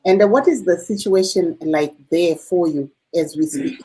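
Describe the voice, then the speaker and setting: A woman interviews a guest on a radio program. Her voice is low and warm. She puts her questions in a measured way, with a few uh pauses. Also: poor audio. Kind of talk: broadcast interview.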